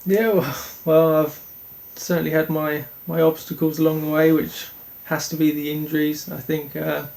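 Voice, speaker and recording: A young man from Denmark, a speedway rider answering interview questions in an earnest, halting way with many restarts. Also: fairly high pitch, flat delivery, creaky, darkish tone.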